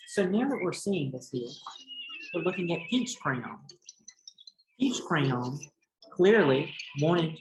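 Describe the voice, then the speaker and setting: A man's voice: a little high, a little mellow. A man speaking as a teacher in a read-aloud lesson, explaining and questioning for young pupils. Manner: instructive, addressing young pupils. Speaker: teacher reading a picture book aloud. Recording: echoey room.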